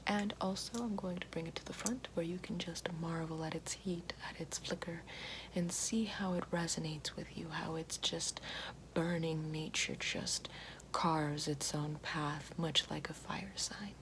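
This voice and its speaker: A woman playing a calm, gentle witch in an ASMR roleplay, whispering slowly and soothingly right at the mic and repeating soft praise. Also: low-pitched. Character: calm gentle female witch guiding elements. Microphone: close binaural-style mic. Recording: noisy hiss behind voice.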